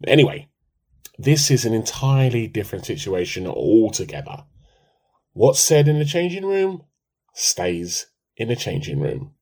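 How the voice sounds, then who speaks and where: A man's voice: on the low side, unhurried, breathy edge, full character voice. A man, playing a timid, comically earnest, melodramatic husband in a radio play. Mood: mock solemn. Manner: pompous, excitable. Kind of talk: amateur radio play dialogue.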